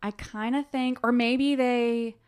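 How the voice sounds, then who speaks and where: A woman's voice: fairly high pitch, fairly even intonation, takes it slowly, darkish tone, clear, resonant tone. An American woman co-hosting a podcast, talking casually about books with a friend. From United States. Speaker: podcast co-host. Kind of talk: casual book discussion chat.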